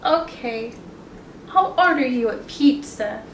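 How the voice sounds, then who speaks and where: A woman's voice: sits high, lively intonation, slow pace, heavily acted. A woman voicing an exasperated little male rabbit from a picture book, pleading and exclaiming as he bargains. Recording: echoey room, distant mic, some noise.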